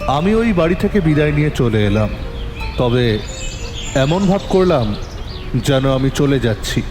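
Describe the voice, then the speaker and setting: A man narrating a detective story in the first person, in a measured, suspenseful storytelling manner. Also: medium pitch, sing-song, long reverb tail.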